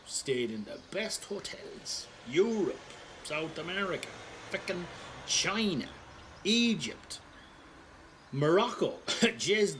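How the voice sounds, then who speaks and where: A man acting an animated, roguish old Irishman. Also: fairly high pitch, noisy background. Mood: wry, amused. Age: older. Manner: rambling, sweary, wry and chuckling. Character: roguish, callous, wry older Irish pensioner reminiscing. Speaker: storyteller. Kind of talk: dramatic monologue told as casual pub-style anecdote.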